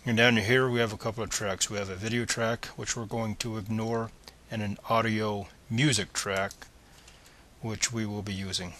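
A man's voice: lowish voice, level tone, normal speed, a bit bright, a little hiss or hum. A man presenting a step-by-step screencast tutorial in a plain, methodical, instructional manner.

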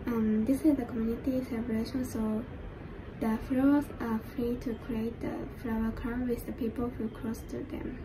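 A fifteen-year-old girl from Japan, presenting a school project in a hesitant, soft-spoken way. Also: fairly high pitch, steady tone, normal speed, noisy background. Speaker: student presenter. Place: indoor room.

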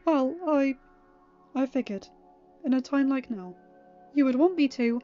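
Woman as gentleman, formal, deferential, hesitant, quietly hurt, storybook character, dull lo-fi audio. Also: high voice, unhurried, voice pushed up. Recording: muffled lo-fi audio with music.

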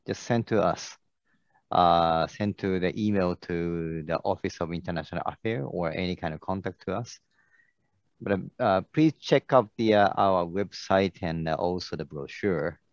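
A man's voice: sits low, no echo. A man, a university staff host, giving closing remarks hesitantly with many fillers. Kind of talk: closing remarks at an online session. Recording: dull lo-fi audio.

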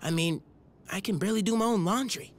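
An actor playing an anxious, self-doubting teenage superhero in a video game. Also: male voice, high-pitched, fairly quick. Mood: glum. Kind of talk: video game cutscene acting. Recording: clear background noise.

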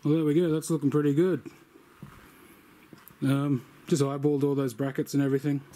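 A man's voice: on the high side, a little fast, poor audio. A man casually narrating his off-grid building project outdoors, easygoing with plenty of ums. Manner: relaxed, easygoing, lots of ums and yeahs. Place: outdoors in bushland and a work shed.

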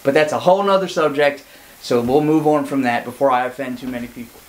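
A man in his forties, a real estate agent hosting his channel, chatting to camera with lively hand gestures in an indoor studio set. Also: mid-range voice, fast, a little hiss or hum. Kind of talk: scripted to-camera presentation.

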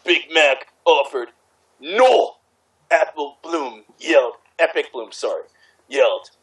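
A man's voice: fairly high pitch, lively intonation, slow pace, a bit bright. A man reading amateur fan fiction aloud casually, stumbling over words and hamming up the quoted lines.

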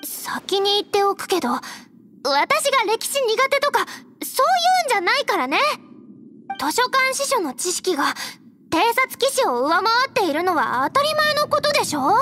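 A woman voicing an earnest, energetic young female scout knight in an anime game. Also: very high-pitched, moderate pace, noisy background. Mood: flustered, defensive. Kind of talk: Japanese anime game dialogue.

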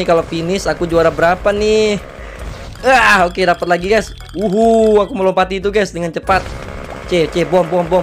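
A young man speaks in a fairly high, slightly hoarse voice. He commentates casually on a game, full of slangy exclamations. Faint music plays behind him. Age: young adult. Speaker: gaming video creator.

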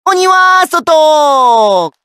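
A man's voice: extremely high pitch, big pitch swings, very slow, pushed and bright, no echo, clean studio sound, full character voice. A man voicing a flamboyant, effeminate, boisterous sake-loving warrior in an anime game, with exuberant sing-song delivery. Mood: boisterous glee.

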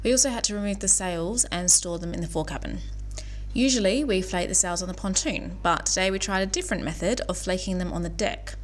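A woman reading scripted voice-over narration for a sailing vlog, brisk and explanatory. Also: on the low side.

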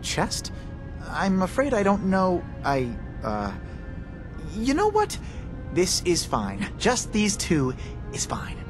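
A mild, nervous game scientist who talks slowly and haltingly. His pitch swings all over the place as he dodges and hedges. Music plays clearly behind him. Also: wildly swooping pitch, full character voice. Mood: nervous, hesitant. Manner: hesitant, halting. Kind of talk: video game voice acting.

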